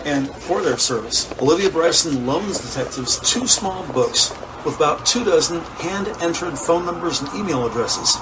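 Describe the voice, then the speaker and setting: A man narrating a scripted crime audio drama in the present tense with deliberate, descriptive delivery. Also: on the high side, lively intonation. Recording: distant voice over loud background noise.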